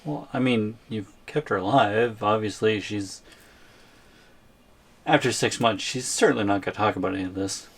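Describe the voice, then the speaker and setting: A man's voice: fairly low pitch, normal speed, vocal fry. A man playing a wary, placating captive boyfriend in an ASMR audio roleplay, with a hesitant, questioning, understated delivery.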